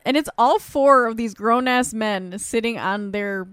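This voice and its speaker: A woman casually recapping a sitcom episode, animated, swearing freely and acting out the characters' lines. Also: fairly high pitch, a little slow, clean tone, dry recording, studio quality. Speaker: podcast co-host recapping a sitcom. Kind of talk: casual profane chat.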